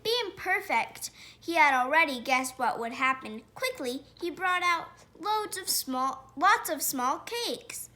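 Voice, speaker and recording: Bright, high-voiced woman reading a storybook. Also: animated. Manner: pauses to describe pictures and question listeners. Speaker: storybook reader. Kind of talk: reading a children's picture book aloud.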